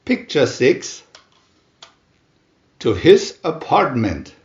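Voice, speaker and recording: A man reading a comic adventure booklet aloud as a dramatic narrator, announcing each part with theatrical pauses. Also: medium pitch, wildly swooping pitch, echoey room, lo-fi sound.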